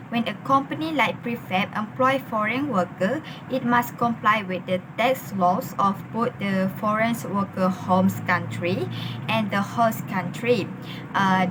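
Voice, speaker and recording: A young Malaysian woman, a university student, giving a class presentation in a halting way, with many fillers. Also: fairly high pitch, background noise. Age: young adult.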